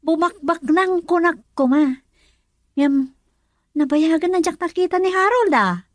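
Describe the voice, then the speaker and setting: A woman's voice: very high-pitched, expressive, a little slow, no room sound. A woman with an Ilocano accent playing a chatty, inquisitive village woman in a radio drama. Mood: curious.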